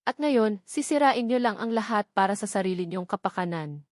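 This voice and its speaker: A woman's voice: medium pitch, lively intonation, fairly quick, no echo, natural voice. Narrator lady doing an upset indignant woman in a love story, confrontational, dry close sound. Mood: anguished, accusing. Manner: emphatic, confrontational. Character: indignant, anguished woman confronting an older matriarch.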